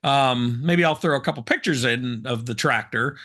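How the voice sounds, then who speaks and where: A man co-hosting a casual talk show, hoarse from smoke, telling rambling self-deprecating stories. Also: medium pitch, moderate pace, a bit bright. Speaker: co-host. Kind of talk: casual chat, storytelling.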